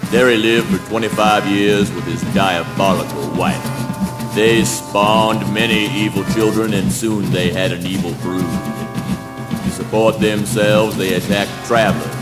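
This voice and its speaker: A man playing a grim, mock-solemn narrator of gruesome history in a spoken word play, theatrical and deliberate. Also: fairly low pitch, close to an everyday voice. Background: loud music.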